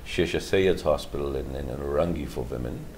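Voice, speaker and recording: A middle-aged man, a physician answering interview questions in a measured, halting way with many fillers and restarts. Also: extremely low pitch, vocal fry, a crowd in the background. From Pakistan. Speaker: guest, physician.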